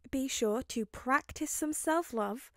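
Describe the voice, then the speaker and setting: A woman narrating fan fiction in an expressive storytelling style. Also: sits high, takes it slowly, dry recording, clean studio sound.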